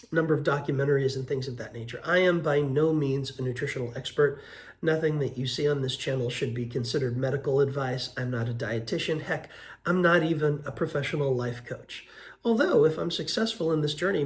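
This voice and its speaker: A man in his fifties, hoarse from a cold, giving an earnest, unhurried vlog update with frequent ums and uhs. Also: mid-range voice. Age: 50s. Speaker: vlogger. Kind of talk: casual weight-loss update vlog.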